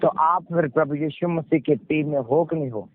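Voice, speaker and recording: A man's voice: on the high side, moderate pace. A male pastor with a Punjabi accent preaching in an exhorting way, repeating phrases and asking questions that he answers himself. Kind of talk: sermon with rhetorical questions, call and response. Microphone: conference call. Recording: muffled, noisy conference call audio.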